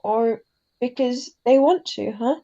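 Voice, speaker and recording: Lady doing a gentle sick mum in a radio drama, highish creaky voice, philosophical, says things slow and tender. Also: dull audio. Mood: gently reflective. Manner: tender, halting, philosophical, tags sentences with huh. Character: ailing, gentle, wise immigrant mother. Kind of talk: classic radio drama acting.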